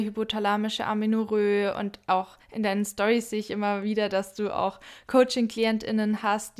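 A woman hosting a casual podcast interview, chatty and loose, with lots of fillers. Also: medium pitch. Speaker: podcast host.

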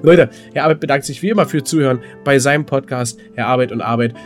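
A man's voice: medium pitch, fast talker, soft background music. A man chatting casually as a podcast co-host, in a joking, rambling manner. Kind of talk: casual chat.

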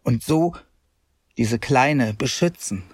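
A man's voice: medium pitch, expressive, slow, breathy edge, dry recording, studio quality. An actor playing a young crusade survivor recounting his ordeal in a radio play, bitter and agitated. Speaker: narrator.

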